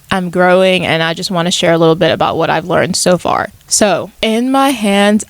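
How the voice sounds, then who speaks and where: A woman's voice: on the low side, clear, resonant tone, dry recording. A woman in her mid-twenties hosting a podcast solo, talking in a casual, chatty, reflective monologue. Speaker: podcast host.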